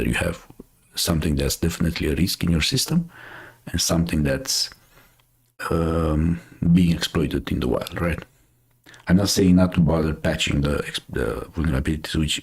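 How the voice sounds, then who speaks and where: A man's voice: very deep voice, slightly rough. A man from Romania with a Romanian accent, hosting a live show and chatting casually through the news, thinking aloud with many fillers and restarts. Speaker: host.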